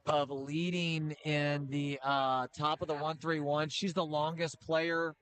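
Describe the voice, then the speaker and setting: Middle-aged man, casual sports caller, slightly high voice, relaxed banter with fillers. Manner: chatty, joking, with filler pauses. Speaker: play-by-play commentator. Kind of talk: casual high school basketball commentary.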